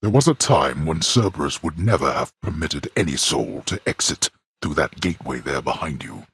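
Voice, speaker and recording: A grave, imperious lord of the dead speaks in a very deep, rough, husky voice. He lectures with cold, formal contempt and booms out commands, recorded dry and close in a game studio. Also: expressive, normal speed, a bit bright, no room sound, heavily acted. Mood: contemptuous. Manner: cold, formal, commanding; bellows when summoning. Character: stern, imperious male god of the underworld, a father. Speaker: boss character in a video game.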